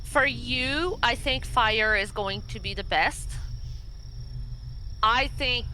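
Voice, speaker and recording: Woman, very measured pace, bright tone, casual tabletop game player. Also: a little high, a little hiss or hum, low-quality recording. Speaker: player on a tabletop roleplaying show.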